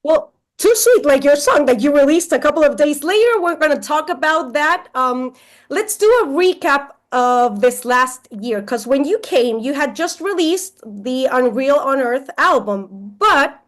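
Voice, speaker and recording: A woman's voice: sits high, expressive, unhurried. A woman from Chile, interviewing in a casual, playful, chatty way.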